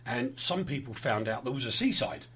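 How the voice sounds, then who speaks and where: A man's voice: medium pitch, lively intonation, normal speed, a bit bright, a little hiss or hum. A man hosting a podcast, chatting casually and adding facts from memory with some hesitation. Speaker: podcast host. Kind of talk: casual chat.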